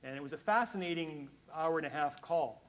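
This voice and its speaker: A man's voice: on the high side, brisk. A man from Canada moderating a conference panel, speaking candidly with frequent restarts. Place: conference hall.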